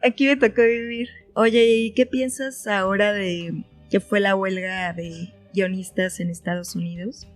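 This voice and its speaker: Mexican woman interviewing, mid-pitched voice. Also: steady tone, a little slow, no room sound, soft background music. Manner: attentive, informal, frequent short acknowledgements. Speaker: podcast host, interviewer. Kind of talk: casual interview chat.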